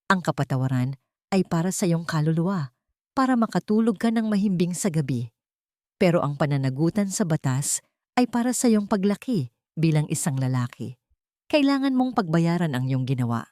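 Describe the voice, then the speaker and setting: An elderly mother of stern dignity , played by a woman narrator. Her voice is low and dry, close in the ear. She speaks with measured solemnity in an audio story, forgiving yet unbending. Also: very animated, mellow, no echo. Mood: solemn, firm. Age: older.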